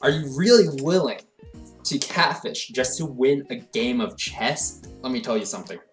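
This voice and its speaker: A young man in the middle of his vocal range tells a comedic story with exaggerated drama. He swings between fired-up ranting and low, deadpan lines. Faint music plays quietly beneath his voice. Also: normal speed. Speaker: storytelling vlogger.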